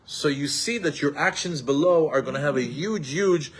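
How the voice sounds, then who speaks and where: A man teaches a Torah class in an animated, emphatic way. His voice sits somewhat high for a man. He quotes Hebrew texts and then explains them in English. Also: normal speed, a crowd in the background. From Israel, raised in New York.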